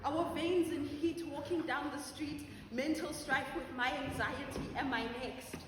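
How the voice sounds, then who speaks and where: Woman doing spoken word poet, high voice, anguished n accusing, big echo, noisy hall. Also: a little slow. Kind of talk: dramatic spoken word poetry. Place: large auditorium stage. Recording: very echoey noisy auditorium, far microphone.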